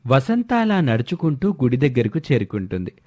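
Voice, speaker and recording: A man's voice: medium pitch, very animated, brisk, darkish tone. A man narrating a scripted moral story.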